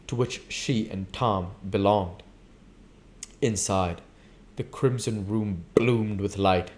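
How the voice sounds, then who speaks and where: A man with a fairly low voice reads a novel aloud. His delivery is measured and literary, like an amateur audiobook narrator who hosts his own readings. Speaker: reader and channel host.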